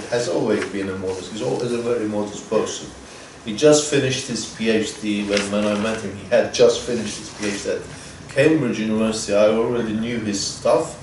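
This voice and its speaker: A man's voice: medium pitch, darkish tone. A man in his fifties, a native Turkish speaker, giving a seminar lecture with many restarts and repeated words and a dry touch of humor. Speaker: guest lecturer. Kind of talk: academic seminar talk. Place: seminar room. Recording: echoey seminar room, distant mic, faint noise.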